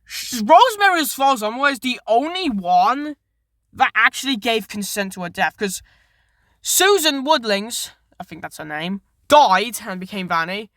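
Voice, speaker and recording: A woman's voice: fairly high pitch, expressive, moderate pace, fairly bright tone, no room sound, clean studio sound. A woman giving casual, unscripted commentary as a video host, rambling through a retelling with enthusiasm and frequently correcting herself. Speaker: video host reviewing a horror series.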